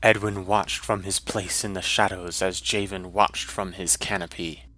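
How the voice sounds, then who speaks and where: A man's voice: low-pitched, flat delivery, measured pace, bright, forceful tone. A man narrating a scripted fantasy story in a dramatic style.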